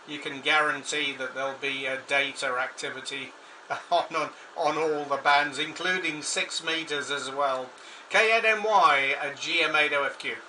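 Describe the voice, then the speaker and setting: A man with a very bright, forceful voice chats about radio conditions in a halting way, stopping often on little fillers. He sounds a little away from the mic, with faint noise underneath. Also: on the high side, recorded from a distance, low-quality recording. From Scotland. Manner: halting, many filler pauses. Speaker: amateur radio operator, show host. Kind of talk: casual radio contact chat.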